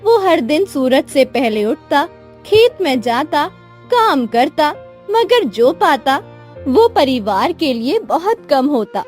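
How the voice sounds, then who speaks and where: A woman's voice: high-pitched, very animated, slow, darkish tone. A woman storyteller reading scripted narration for a moral tale. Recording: music behind the voice.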